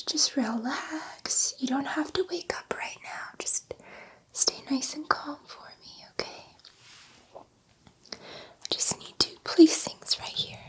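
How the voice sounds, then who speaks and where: A woman voicing a gentle, excitable female alien scientist in an ASMR roleplay, soothing a human subject in a soft, breathy whisper and repeating words reassuringly. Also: high-pitched.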